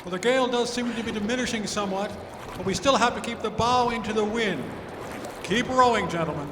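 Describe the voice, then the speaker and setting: A man playing a steady, genial reverend in command of a small boat, acted in old-time radio adventure style. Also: sits high, a little fast, natural voice. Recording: echoey hall, clear background noise.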